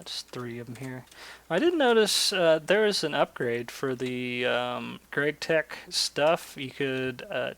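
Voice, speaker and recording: A man's voice: mid-range voice, a bit bright. A man chatting and telling stories casually as he hosts a gaming video, rambling and swearing freely. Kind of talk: casual chat and storytelling over gameplay. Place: indoors, voice-over for screen-recorded gameplay.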